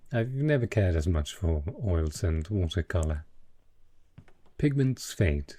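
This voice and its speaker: Man playing a husky, slow, courtly dragon patron. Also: deep voice, dark tone, exaggerated voice. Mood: proud, covetous. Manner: refined, eloquent, effusive. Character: dragon disguised as a wealthy male patron, possessive, courtly, effusive. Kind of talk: romantic fantasy audio roleplay addressed to the listener.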